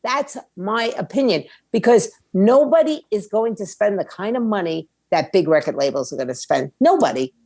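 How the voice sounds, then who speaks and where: A woman's voice: mid-range voice, animated, moderate pace, hardly any pauses, darkish tone, no echo, clean studio sound. A woman giving opinionated interview answers in a blunt, emphatic way, with slangy phrasing and rhetorical questions. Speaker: music industry attorney and indie label owner, interview guest.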